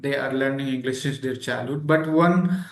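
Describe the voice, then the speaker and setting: A man's voice: mid-range voice, normal speed, reverberant. A man hosting a casual interview, asking questions hesitantly with many fillers. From India. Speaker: channel host.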